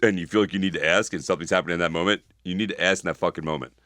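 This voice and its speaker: A man with a deep voice co-hosting a casual comedy podcast, giving blunt, emphatic advice and cracking jokes. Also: fairly even intonation, a bit bright, no echo, clean studio sound. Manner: blunt, emphatic, quick to repeat and joke. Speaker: podcast co-host.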